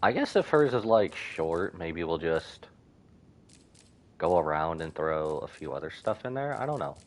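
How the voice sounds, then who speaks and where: Low-voiced, animated man streaming a game. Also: unhurried. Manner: rambling, thinking aloud. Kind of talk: casual live-stream game commentary.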